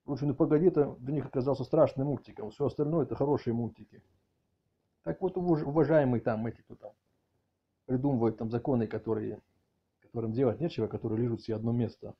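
Husky guy ranting jokingly into earphone mic, kinda lo-fi sound, keeps repeating himself. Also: mid-range voice, fast talker. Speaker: video channel host. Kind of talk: mock-outraged comic rant commentary on cartoons. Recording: dull lo-fi audio, faint music behind.

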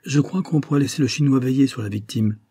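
A man's voice: mid-range voice, fast, dark tone, breathy edge, pitched above the performer's own voice, close to an everyday voice. Man playing a brusque, commanding police official. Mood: decisive. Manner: curt, commanding, dry. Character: brusque, self-important police secretary, male.

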